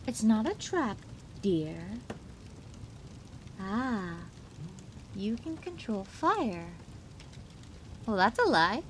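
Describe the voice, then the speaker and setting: Woman playing a high-voiced, taunting female supervillain. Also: slight background noise. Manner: theatrical, sing-song teasing with frequent giggling. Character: gleeful, taunting, unhinged female supervillain toying with captive. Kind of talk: ASMR roleplay.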